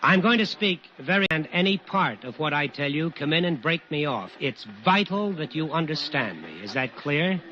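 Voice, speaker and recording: An actor playing an earnest, commanding air traffic controller under pressure in an old-time radio drama, speaking firmly and deliberately. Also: male voice, fairly high pitch, lively intonation, sharp tone. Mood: calm, careful. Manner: deliberate, firm, instructing. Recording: noisy, muffled old radio recording.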